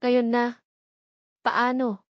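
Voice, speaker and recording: A woman's voice: a little high, monotone, extremely slow, no echo, clean studio sound, pitched above the performer's own voice. Woman voicing a flustered, stammering young woman. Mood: flustered, evasive. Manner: stammering, hesitant.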